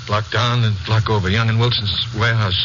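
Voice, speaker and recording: An actor playing an anxious, secretive middle-aged father in a 1950s radio drama, stammering and halting as he speaks. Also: fairly low pitch, steady tone, close to an everyday voice. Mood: evasive. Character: anxious, secretive father shielding his troubled son. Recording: muffled, noisy 1950s radio recording.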